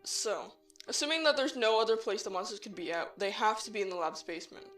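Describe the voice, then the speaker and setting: Young team leader played by a woman, earnest, resolute, mid-pitched, slightly off-mic over music, audio drama. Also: lively intonation, quick pace, fairly bright tone, recorded from a distance, close to an everyday voice. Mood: serious, determined. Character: calm, determined young human team leader. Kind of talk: amateur audio drama character acting.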